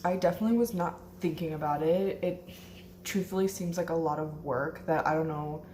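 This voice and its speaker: Chatty young woman, a bit hoarse. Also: low voice, brisk, dark tone, roomy sound, slight background noise, low-quality recording. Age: 20s. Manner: chatty and upbeat, with many fillers. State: slightly hoarse. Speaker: law student vlogger. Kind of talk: casual vlog chat. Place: home kitchen.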